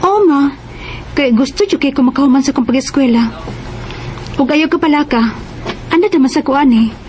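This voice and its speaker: An actress playing a grateful, eager nineteen-year-old working student daughter in a radio drama. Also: female voice, sits high, a little fast, darkish tone, faint music behind, lightly acted. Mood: hopeful, earnest. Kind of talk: Cebuano radio drama acting.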